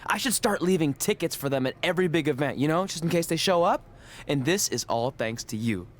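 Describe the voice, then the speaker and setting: Young male wrestler played by a man, cocky, earnest, wry, highish animated voice, game cutscene. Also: fairly quick, natural voice. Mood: excited, boastful. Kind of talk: video game cutscene acting.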